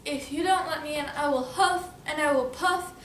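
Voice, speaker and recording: Storybook wolf, played by a woman: high pitch, hungry and menacing, drawn-out chanted threats, roomy recording. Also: fairly bright tone. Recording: roomy, distant mic, faint noise.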